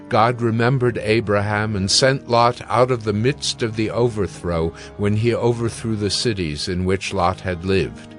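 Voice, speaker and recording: A man narrating scripture from a script in a measured, solemn manner. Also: on the low side.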